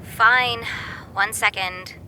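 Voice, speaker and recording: A woman playing a cute, sulky teenage girl sidekick in an audio drama, with a bratty, expressive manner. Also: extremely slow, full character voice. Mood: grudging. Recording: rumbling engine-like noise behind voice.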